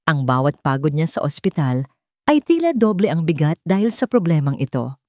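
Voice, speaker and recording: A woman's voice: sits low, sing-song, normal speed, a little mellow, dry recording, clean studio sound. A woman with a Filipino accent narrating a scripted romantic drama as a storyteller.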